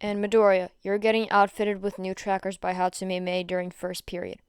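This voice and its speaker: A woman reading the part of a tired male high school teacher with a dry, deadpan manner in a story. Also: fairly quick, clear, resonant tone, dry recording, natural voice. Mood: deadpan, weary.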